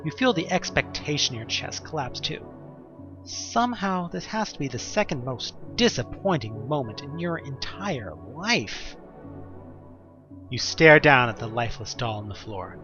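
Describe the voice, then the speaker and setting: A man's voice: medium pitch, muffled recording. A man narrating a second-person horror story in a theatrical, suspenseful way, with wry, casual asides. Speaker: narrator of an audio drama. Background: music.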